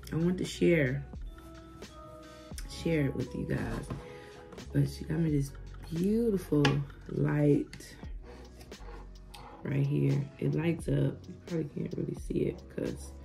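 A woman's voice: deep voice, slow, vocal fry, pauses often, over music. A woman in her thirties chatting casually to her viewers from her living room, recovering from being sick, saying "y'all" a lot and gushing over gifts. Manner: chatty, says "y'all" often, warm exclamations over gifts. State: recovering from illness. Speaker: vlogger. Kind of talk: casual vlog chat. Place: living room at home, indoors.

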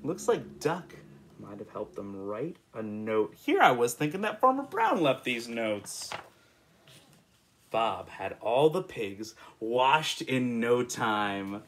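Young American male storyteller for preschoolers, unhurried and playful, very lively intonation. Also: medium pitch, slight background noise. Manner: playful, expressive, asking the listener questions. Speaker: children's story reader. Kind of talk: animated picture-book read-aloud for young children. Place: indoors, small room in front of a patterned cloth.

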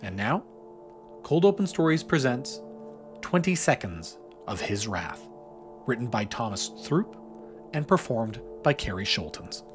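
A man's voice: mid-range voice, big pitch swings, unhurried, long pauses. A man reading a scripted introduction as the presenter of an audio fiction show. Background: music behind the voice.

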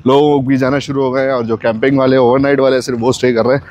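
A man's voice: medium pitch, level tone, fast talker, darkish tone, dull audio. A man, chatting casually to viewers as a travel vlogger on a mountain picnic spot, pointing things out as he goes. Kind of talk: casual vlog narration. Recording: faint outdoor noise. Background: wind and passing vehicles.

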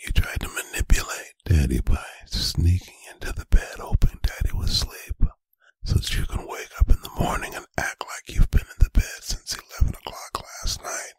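Man acting a very deep, gravelly whispering domineering boyfriend. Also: wildly swooping pitch, no room sound, full character voice. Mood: menacing scolding. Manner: slow, deliberate, menacing, with long pauses. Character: stern, angry, domineering boyfriend scolding his adult partner. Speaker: ASMR voice performer. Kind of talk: whispered roleplay ASMR. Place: bedroom, close and dry.

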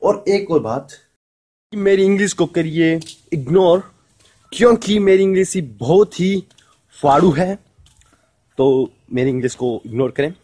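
A man's voice: fairly high pitch, measured pace, pauses often, warm tone. A playful young man from India, vlogging casually to a camera held at arm's length, at home and outdoors in a village. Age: young adult. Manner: playful, jumps between Hindi and broken English. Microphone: handheld camera at arm's length.

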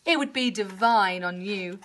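A woman's voice: medium pitch, measured pace, heavy background noise, voice pushed down, close to an everyday voice. A woman voicing a fawning, over-polite department store sales assistant in a children's story. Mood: gushing, polite.

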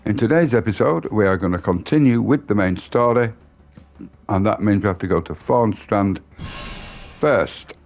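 A man's voice: lowish voice, normal speed, a little mellow. A man hosting a gaming channel, giving walkthrough commentary over a video game.

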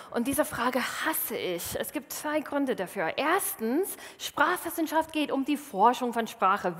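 A woman in her thirties from Wisconsin, a native English speaker with an American accent, giving a lively, self-mocking stage talk in a large hall. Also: a little high, husky. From Wisconsin, USA. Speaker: science slam speaker. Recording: reverberant hall.

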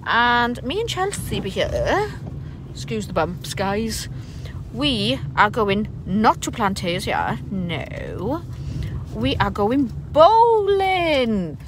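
A woman's voice: a little high, very animated, slow, background noise. A mother narrating a casual family vlog, chatty and cheering her daughter on at a bowling alley. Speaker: mother filming a family outing.

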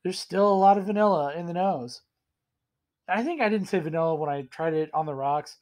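A man in his thirties with a cold, hoarse and rambling as he tastes and reviews whiskey in a casual home vlog. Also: on the high side, brisk, a little mellow. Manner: rambling, self-deprecating, enthusiastic. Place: small room indoors at home.

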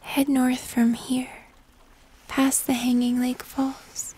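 A young woman playing a gentle, mysterious moth-human hybrid in fantasy ASMR, speaking in soft, breathy whispers. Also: sits high, flat delivery, exaggerated voice, low-quality recording. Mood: gentle, guiding. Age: young adult. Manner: slow, hushed, mysterious, gentle. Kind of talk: cinematic fantasy ASMR roleplay.

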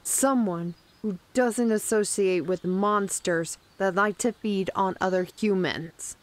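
Woman acting a slow, stern townswoman. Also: mid-range voice, lightly acted. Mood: cold. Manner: deliberate, accusatory. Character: stern, hostile townswoman delivering an ultimatum. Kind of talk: fantasy roleplay audio drama.

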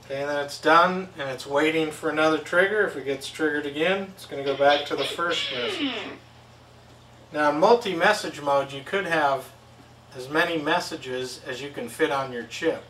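A middle-aged man with a slightly high voice explains things step by step as a product demonstrator. His delivery is casual and plain, picked up from a distance in an echoey room with some background noise. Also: animated, normal speed, fairly bright tone. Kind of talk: casual instructional electronics demo. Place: indoor tabletop demo setup with backdrop.

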